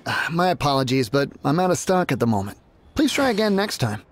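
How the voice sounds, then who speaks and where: A cagey, stammering trader for a game, apologetic, lively in pitch. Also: male voice, brisk, faint music behind. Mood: hesitant. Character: male wholesale trader, cautious then greedy. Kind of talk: video game voice acting.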